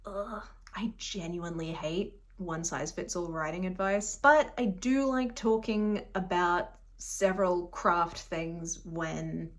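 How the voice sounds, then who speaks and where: A woman's voice: fairly low pitch, steady tone, takes it slowly. A woman in her thirties with a slightly unusual Australian accent, chatting casually to camera in a rambling, self-deprecating way. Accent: Australian, a bit unusual. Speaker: booktube vlogger. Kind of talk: casual direct-to-camera vlog.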